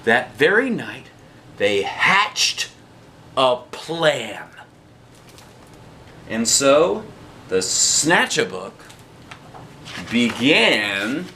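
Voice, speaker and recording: Animated man slowly reading a storybook aloud. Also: medium pitch, a little hiss or hum. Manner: dramatic, rhythmic phrasing. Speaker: dad reading a picture book aloud. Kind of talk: expressive storybook read-aloud with casual asides to children.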